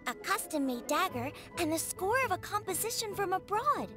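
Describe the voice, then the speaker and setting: Cute, mischievous young girl for a game: very high, bouncy melody, over music. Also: sing-song, moderate pace. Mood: proud, excited. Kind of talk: video game voice acting.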